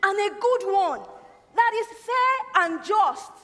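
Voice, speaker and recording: A woman acting a wise, doting motherly confidante on stage, counselling with measured words and dramatic pauses in a reverberant hall. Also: extremely high pitch, animated, pushed and bright, full character voice. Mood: gentle counsel. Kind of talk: projected stage play acting.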